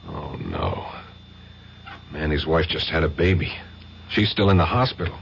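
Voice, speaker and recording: A man's voice: rumbling low, big pitch swings, a little slow, creaky, full character voice. An actor playing a wry, easygoing male narcotics agent in a 1970s radio drama. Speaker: actor in a radio drama, also reading a public service announcement. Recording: dull lo-fi radio broadcast with faint hiss.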